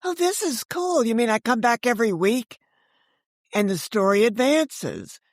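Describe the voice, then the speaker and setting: A woman's voice: fairly high pitch, big pitch swings, measured pace, no echo, clean studio sound. An older woman, around seventy, chatting candidly as an interview guest and telling casual anecdotes, acting out what people said. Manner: chatty and candid, acts out quoted remarks. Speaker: guest, author and audio drama creator.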